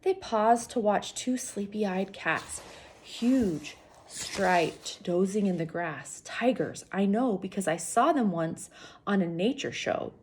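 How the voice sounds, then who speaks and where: A woman's voice: mid-range voice, expressive, slow, darkish tone, slightly rough. A woman reading a children's novel aloud expressively, as a teacher does for students, speaking directly to young listeners.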